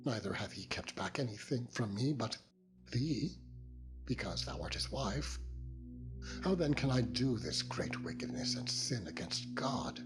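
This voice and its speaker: A man's voice: fairly low pitch, expressive, measured pace, pitched above the performer's own voice. A man voicing an upright young servant, earnest and emphatic, in a dramatized scripture reading over music. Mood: earnest refusal. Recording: loud meditation music under voice.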